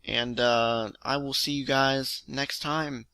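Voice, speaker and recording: A man's voice: medium pitch, steady tone, takes it slowly, pushed and bright, clear, resonant tone, no echo. A man hosting a storytelling series, reading his own science fiction story aloud in a casual, amateur way. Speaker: storytelling host. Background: music under the voice.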